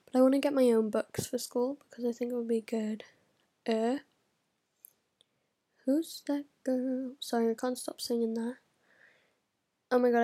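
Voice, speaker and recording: A teenage girl chatting straight to camera in a rambling, scattered way. Her voice sits a little high, and she swears freely and often drops into a whisper mid-thought. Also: warm tone, husky. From United Kingdom. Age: teens. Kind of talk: casual vlog chat.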